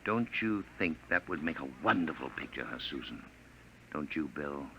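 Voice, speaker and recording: An actor playing a smooth, menacing hunter from the future in a theatrical 1950s radio drama style, on a noisy old recording. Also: male voice, extremely low pitch, lively intonation, moderate pace, pushed and bright, full character voice. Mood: falsely genial. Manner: theatrical, affable patter turning to commanding.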